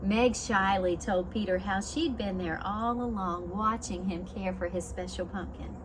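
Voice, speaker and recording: A woman's voice: medium pitch, a little slow, noisy background. A woman reading a picture book to young children as a preschool teacher, expressively, with simple explanations and questions along the way. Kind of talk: storybook read-aloud for young children, with asides.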